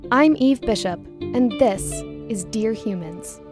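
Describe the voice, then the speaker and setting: A woman from New York narrating a podcast promo in a measured, storytelling way. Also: a little high, music in the background. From New York, United States. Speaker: podcast host. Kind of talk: scripted narration.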